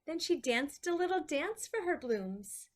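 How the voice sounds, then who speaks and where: A woman reading a picture book aloud to children in an expressive, deliberate way, with pauses between short phrases. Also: high voice. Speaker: children's storyteller.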